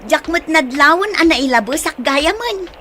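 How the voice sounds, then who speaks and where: An actress as a young office woman with a fairly high voice. She is shy and quietly smitten, acted in a homemade audio drama. There is faint noise behind her. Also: expressive, normal speed. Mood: chatty, apologetic. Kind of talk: amateur audio drama acting.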